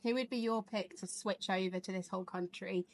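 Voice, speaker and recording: A woman's voice: mid-range voice, fairly even intonation, brisk, a little hiss or hum. A woman from England doing a casual interview, teasing her guest, laughing often and joking.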